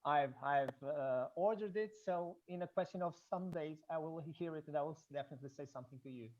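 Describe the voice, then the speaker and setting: Portuguese accent, native portuguese, kinda halting and repeating words, informal online chat, muffled lofi call. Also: medium pitch. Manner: hesitant, halting with repetitions. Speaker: audience member, student researching Blake and music. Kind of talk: informal remarks in an online discussion.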